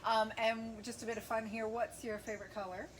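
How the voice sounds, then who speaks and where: A woman in her forties hosting a casual interview, gushing and animated, breaking into gasps and laughs. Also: a little high, a little fast. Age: 40s. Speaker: podcast host. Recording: noisy background.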